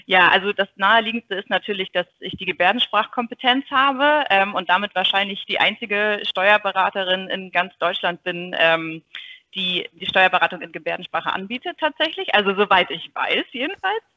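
A woman's voice: medium pitch, animated, moderate pace, sharp tone, dull audio. A woman in her thirties, a bilingual native signer, answering podcast interview questions with frequent hesitations. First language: German Sign Language. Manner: hesitant, many filler sounds. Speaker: tax advisor guest.

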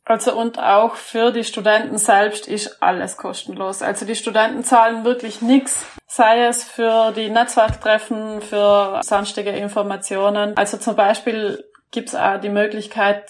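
A woman in her thirties from South Tyrol with a South Tyrolean German accent, calmly walking through her career as an interview guest. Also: medium pitch. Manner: measured, explains her career step by step. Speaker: creative art director, interview guest. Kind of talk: casual interview talk.

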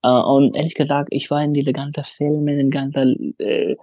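Man in his twenties, mellow husky voice, dry close sound, hesitant speech with many fillers. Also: a little high, little pitch movement, measured pace, no echo. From Afghanistan. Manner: hesitant, many fillers, non-native grammar. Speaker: interview guest. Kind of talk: casual interview answers.

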